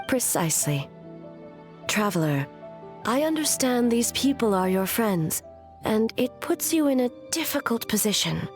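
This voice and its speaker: A woman voicing a dignified, solemn noblewoman in a video game, speaking in a formal, measured and composed way. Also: fairly high pitch, very animated. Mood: grave. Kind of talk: video game character acting. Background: background music.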